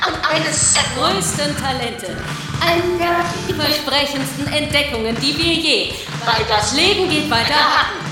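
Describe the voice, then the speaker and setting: An actress playing an effusive award presenter giving a ceremonial stage speech in a radio drama. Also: female voice, high voice. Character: gushing female award presenter at a gala. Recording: echoing hall, far from mic, loud music.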